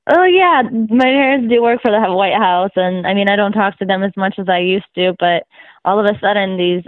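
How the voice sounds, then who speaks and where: A woman's voice: medium pitch, moderate pace, no room sound. A young woman from Russia, a guest in a casual interview, talking in an easygoing, chatty way and laughing often. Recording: dry, tinny phone-like line.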